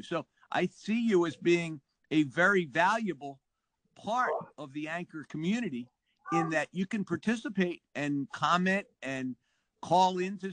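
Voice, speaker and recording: A man's voice: sits high, fairly bright tone, no room sound, low-quality recording. A man hosting a podcast chat, enthusiastic and full of praise for his guest. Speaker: podcast host. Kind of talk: casual interview chat.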